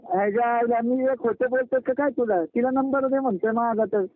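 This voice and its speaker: A man's voice: extremely high pitch, fairly even intonation, fairly quick, no room sound. A young man chatting casually with a friend in an insistent, blunt, repetitive way on a phone call. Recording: narrow, tinny phone call recording.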